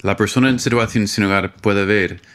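A man's voice: lowish voice, fairly even intonation, moderate pace, fairly bright tone. A middle-aged man from Minnesota with an American accent, speaking Spanish as a second language, earnestly answering interview questions. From United States, Minnesota. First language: English. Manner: earnest, searching for words in Spanish. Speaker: guest, homeless outreach worker. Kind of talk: interview conversation.

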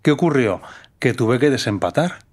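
A man from Menorca speaks at an ordinary, middling pitch. He hosts a conversational interview and thinks aloud thoughtfully. He narrates his own experiences at length. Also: lively intonation, normal speed, darkish tone. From Menorca, Spain. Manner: reflective, tells personal stories at length.

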